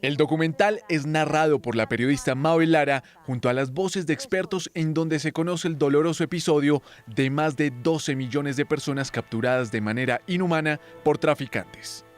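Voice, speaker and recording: Man, fairly high voice, scripted news narration, faint music bed. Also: moderate pace, hardly any pauses. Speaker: news reporter.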